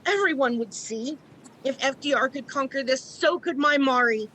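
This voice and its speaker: Actress as an anxious stubborn mother, highish pitch, sharp bright tone, radio drama. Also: moderate pace, husky, full character voice. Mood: determined, sad. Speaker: actor in a college radio play. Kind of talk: scripted radio drama acting.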